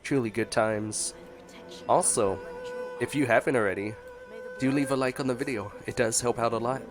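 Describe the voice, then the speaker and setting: A male gaming streamer with a medium-pitched voice chats casually as he reacts to a game. His manner is playful, and music plays clearly behind him. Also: fairly even intonation, normal speed. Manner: playful, chatty.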